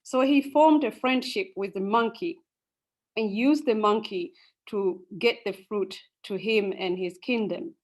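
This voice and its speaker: A young woman from Tanzania speaking to an audience and then telling a folk story, unhurried, with many ums and uhs. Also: mid-range voice. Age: young adult. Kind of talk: storytelling talk.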